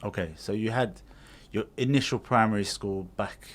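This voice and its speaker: A man's voice: lowish voice, moderate pace. A man co-hosting a podcast who introduces a guest and prompts him with eager questions. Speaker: podcast co-host. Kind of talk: casual interview chat.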